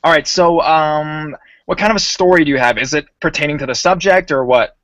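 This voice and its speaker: A man hosting a voice chat in a relaxed, slangy way, calling the guests "bro" and pressing them with questions. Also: on the high side, brisk, no echo, dull audio. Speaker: host.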